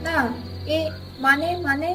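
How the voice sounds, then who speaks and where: A woman's voice: sits high, level tone, takes it slowly, sharp tone, soft background music, exaggerated voice. A woman playing a village wife in a cartoon moral tale, coaxing and persuasive as she eggs her husband on. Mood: shocked. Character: village wife, coaxing and eager for more treasure. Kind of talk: Bengali cartoon moral-story voice acting.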